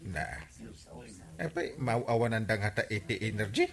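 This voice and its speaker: A man playing a village captain in a radio comedy drama, questioning things with drawn-out interjections. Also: on the low side, lively intonation, gravelly, a bit bright. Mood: curious, musing. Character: inquisitive village captain, mildly comic authority.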